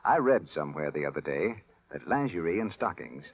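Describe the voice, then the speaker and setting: A genial American man announces on a vintage 1930s radio broadcast. His voice is low and a little husky, with lively swings of pitch and a polished salesman's delivery. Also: a little fast. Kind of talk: scripted 1930s sponsor patter and announcing.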